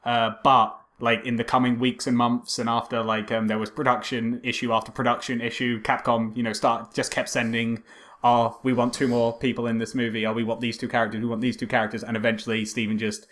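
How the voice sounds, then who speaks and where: A man's voice: mid-range voice, flat delivery, fairly quick, a bit bright. A man co-hosting a casual film podcast, joking and rambling as he goes with some hesitation. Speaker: podcast co-host.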